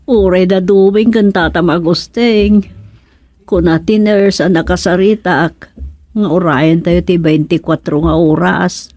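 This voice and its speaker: A woman's voice: fairly low pitch, fairly even intonation, measured pace, darkish tone, dry recording. An actress with an Ilocano accent playing a fussy, anxious middle-aged mother-in-law in a radio comedy drama. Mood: grave. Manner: exclaiming, fussing.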